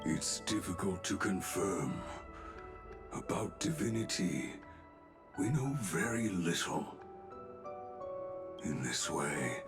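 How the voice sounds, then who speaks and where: Very slow, deep, gravelly master smith. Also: male voice, very animated, exaggerated voice. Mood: grave, reverent. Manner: solemn, halting, inverted phrasing. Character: gruff, solemn male master smith with inverted word order. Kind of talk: scripted video game acting. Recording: game music behind the voice.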